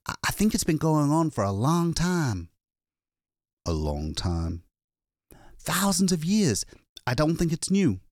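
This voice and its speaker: A man's voice: a little high, sing-song, takes it slowly, pauses often, darkish tone, no room sound, clean studio sound, voice pushed up. A man doing a frantic, worn-out runaway. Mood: urgent. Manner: frantic, breathless and urgent. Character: desperate exhausted man fleeing a captured town.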